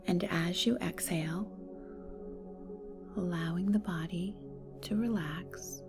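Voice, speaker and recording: A woman leading a guided meditation in a soft, breathy, soothing and unhurried way. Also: fairly low pitch, fairly even intonation. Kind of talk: slow guided meditation narration. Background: meditation music.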